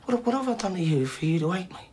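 A man's voice: high voice, very animated, fast talker, background noise. An actor playing a volatile, menacing middle-aged father in a naturalistic film, aggrieved, repeating himself and swearing. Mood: defensive. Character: volatile, menacing father of a troubled teenage son.

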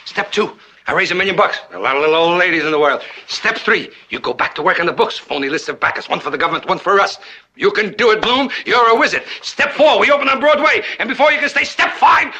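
High, bombastic scheming producer. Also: male voice, bright, forceful tone, slight background noise. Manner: theatrical, swings from coaxing to bellowing. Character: bombastic scheming middle-aged male Broadway producer, wheedling and grandiose. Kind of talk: broad comic film acting.

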